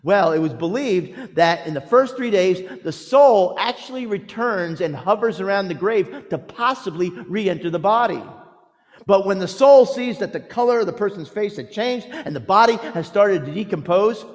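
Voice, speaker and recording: A male preacher delivers a dramatic storytelling sermon in a large hall with long reverb. His voice sits fairly high for a man. He speaks emphatically and theatrically, shaping phrases with pauses and swings in volume. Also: expressive.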